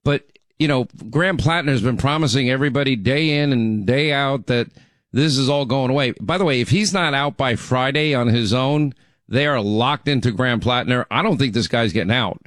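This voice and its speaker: A man's voice: medium pitch, normal speed, fairly bright tone, no echo, clean studio sound. A middle-aged man hosting a talk radio show in a chatty, rambling way, his voice still recovering from laryngitis. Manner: chatty, rambling, frequent restarts. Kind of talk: casual talk radio monologue.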